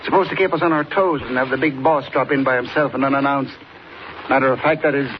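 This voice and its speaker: Man as brisk airfield manager, noisy radio drama. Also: mid-range voice, fairly even intonation, lightly acted. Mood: wry, concerned. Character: harried male airfield manager, affable and brisk. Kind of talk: 1940s radio acting. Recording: muffled noisy old radio recording.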